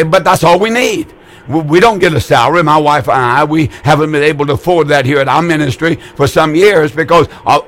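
A man's voice: on the high side, fairly bright tone. An eighty-year-old man hosting a call-in religious broadcast, preaching in a folksy, repetitive way with frequent stammered restarts. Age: older. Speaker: radio preacher taking calls.

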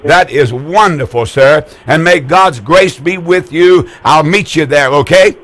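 An older man in his eighties preaches to callers on the air. His voice sits a little high for a man, and he talks in a folksy, repetitive way, stumbling over words and restarting often. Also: a little slow, fairly bright tone. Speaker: radio preacher taking calls. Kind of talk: call-in religious broadcast.

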